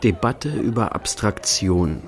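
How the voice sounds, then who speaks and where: An actor narrating a radio play as an observer of an art-world party. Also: male voice, on the low side, expressive, slow, faint music behind. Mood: detached, observing.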